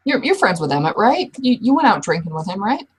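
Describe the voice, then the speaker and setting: A woman playing a guarded, mouthy werewolf woman who talks bluntly and haltingly in an improvised roleplay. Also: medium pitch, very animated, husky. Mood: casual. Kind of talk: improvised in-character roleplay dialogue.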